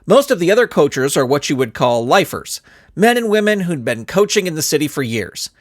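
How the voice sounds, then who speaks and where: A man narrating a scripted comic story in an expressive storytelling manner. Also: fairly high pitch, brisk, no echo, studio quality. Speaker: author and narrator.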